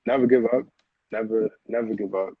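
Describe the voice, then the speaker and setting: A man's voice: fairly low pitch, level tone, brisk, gravelly, breathy edge, no room sound, phone-quality sound. An American man chatting casually as an interview guest, with slangy phrasing and a habit of repeating himself. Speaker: music producer, interview guest.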